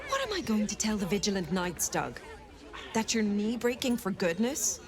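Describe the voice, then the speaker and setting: A woman's voice: mid-range voice, very animated, moderate pace, lightly acted. An actress playing a firm, loyal lady knight in a video game, earnest and admonishing. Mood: reproving. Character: firm, loyal female knight, reproving elder sibling. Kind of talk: video game character acting. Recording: busy crowd behind.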